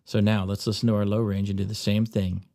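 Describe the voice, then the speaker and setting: A man presenting an audio software tutorial, narrating instructional steps as he demonstrates on screen. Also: lowish voice, flat delivery, fast, dry recording, studio quality.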